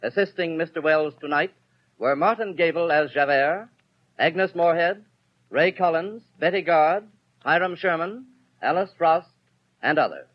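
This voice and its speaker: Higher-pitched formal male radio announcer. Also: normal speed. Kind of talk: scripted 1930s radio announcement. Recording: dull 1930s radio recording.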